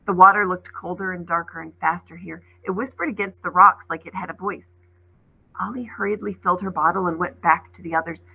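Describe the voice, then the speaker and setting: A woman narrates a children's story in a bright, forward voice with a noticeably creaky, husky grain. She reads expressively, in the manner of a teacher sharing a novel aloud. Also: on the low side, steady tone, fairly quick, poor audio.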